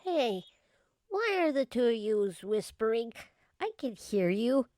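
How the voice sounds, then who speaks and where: Woman playing an earnest high-voiced scrapyard girl. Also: darkish tone, dry recording. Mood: bold, frank. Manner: naive, chatty, folksy kid phrasing. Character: earnest scrappy young girl who hangs around a scrapyard. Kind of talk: old-time radio mystery acting.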